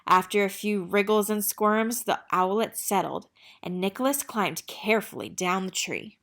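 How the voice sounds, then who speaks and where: A woman narrating a children's picture book in an expressive storytelling manner. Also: mid-range voice, moderate pace. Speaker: read-aloud narrator.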